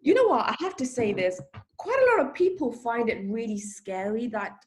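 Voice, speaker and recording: A young woman from Sri Lanka answers interview questions in a loose, chatty way. She is candid and quick to laugh at herself. Her voice sits a little high. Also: big pitch swings. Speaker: interview guest. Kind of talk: casual interview.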